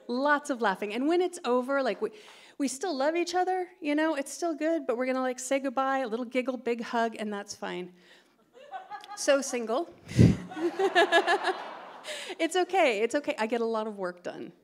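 A woman's voice: high-pitched, expressive, moderate pace, long pauses. A middle-aged American woman from California doing a chatty, self-deprecating stand-up set on a theatre stage into a stand microphone. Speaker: stand-up comedian. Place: theatre stage before a live audience.

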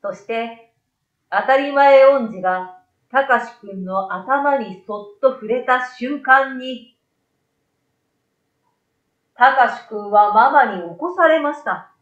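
A woman's voice: fairly high pitch, animated, slow, pauses often. A middle-aged woman reading a children's story expressively as a storyteller into a desktop microphone in a small room. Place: small bright indoor room. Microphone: desktop condenser microphone to the side. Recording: roomy, slightly dull sound.

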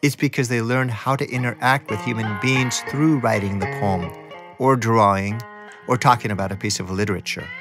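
Medium-pitched man narrating a documentary. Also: normal speed, over music. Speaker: radio documentary narrator and reporter.

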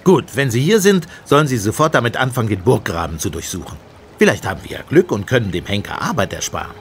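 An actor playing a sceptical, sarcastic police inspector with a gruff, commanding manner in a German radio play. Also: male voice, lowish voice, very animated, quick pace, slight background noise. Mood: brisk, sardonic.

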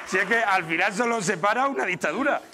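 Spanish guy, young, teasing street reporter, fairly high voice, crowd and traffic all around. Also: expressive. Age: young adult. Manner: playful, teasing, quick asides. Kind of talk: comic street interview. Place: busy city street at night, outdoors. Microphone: handheld mic.

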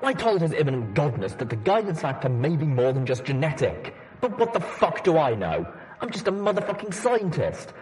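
Male reader as irritated pony researcher, sarcastic, lively swings, slightly high voice, storybook. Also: brisk, few pauses. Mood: bitter, exasperated. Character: bitter, foul-mouthed pony scientist dictating journal entries. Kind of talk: audiobook character voice.

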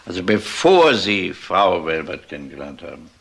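Deep-voiced, probing police detective. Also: male voice, big pitch swings, normal speed, gravelly, a bit bright, husky, exaggerated voice. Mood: insistent. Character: police detective, probing, methodical, world-weary man. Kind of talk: German TV crime drama dialogue.

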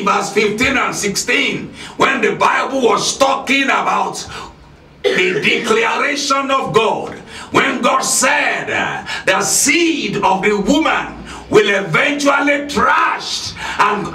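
A middle-aged man preaching a sermon at a lectern with a handheld mic, emphatic and repetitive, often raising his voice. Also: high-pitched. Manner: emphatic preaching, repeating key lines, often shouting. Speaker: pastor. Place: church hall with red curtain. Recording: echoey hall, filmed from a distance.

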